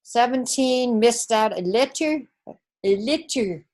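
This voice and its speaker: A woman's voice: on the high side, expressive, a little mellow. A woman teacher with a New Zealand accent, working through the scoring of a test step by step in an online class. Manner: slow, methodical, talks through scoring step by step.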